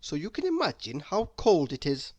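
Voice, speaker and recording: A storyteller reading a children's book aloud in an expressive narrating style. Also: mid-range voice, moderate pace, no echo, dull audio.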